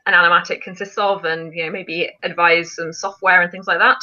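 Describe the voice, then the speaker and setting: A woman's voice: on the low side, flat delivery, a little fast, sharp tone, highs cut off. A woman hosting a casual live stream, chatting and explaining things in an informal way. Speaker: film challenge live stream host.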